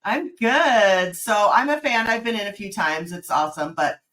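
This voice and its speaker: A woman's voice: medium pitch, normal speed, fairly bright tone. A woman podcast host with a mid-range voice, leading a casual interview. She is friendly and affirming, cheering her guest on with short, encouraging replies between her questions.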